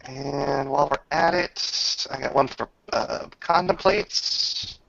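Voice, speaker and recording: A man acting as game master, improvising descriptions and rulings in casual, halting talk with a creaky voice. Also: medium pitch, bright, forceful tone, no echo, dull audio. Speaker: game master running a tabletop roleplaying game.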